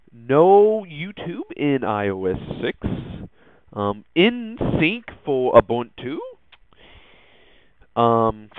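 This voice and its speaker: A man hosting a casual tech news podcast, talking in a halting, hesitant way. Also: a little high, no echo. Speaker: podcast host. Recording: muffled, phone-like narrowband audio.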